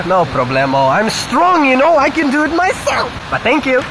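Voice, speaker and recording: A young man chatting excitedly into a handheld action camera outdoors in a river canyon while vlogging his trip. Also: poor audio. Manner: excitable, shouting greetings, pitch jumping up when thrilled. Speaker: travel vlogger. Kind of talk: casual vlog chatter. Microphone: handheld action camera at arm's length. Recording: loud rushing water and outdoor noise.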